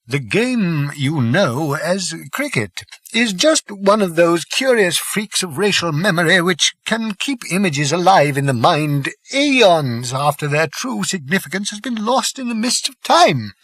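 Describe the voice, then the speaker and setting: A man's voice: high-pitched, big pitch swings, a little slow, fairly bright tone, dry recording, voice pushed up, exaggerated voice. A man voicing an elderly, grave alien scholar in an audiobook, explaining things solemnly with mild apology and some hesitation. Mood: grave, regretful. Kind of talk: audiobook character voice.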